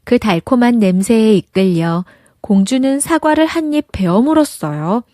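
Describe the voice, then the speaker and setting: A woman narrating a children's picture book as an expressive storyteller. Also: a little high, moderate pace, no room sound, studio quality. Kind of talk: children's fairy tale narration.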